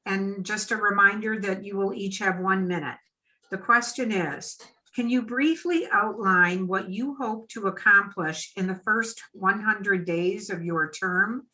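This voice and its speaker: A woman's voice: medium pitch, measured pace, bright, forceful tone, low-quality recording. An American woman speaking formally as the moderator of a public forum, reading aloud and giving instructions.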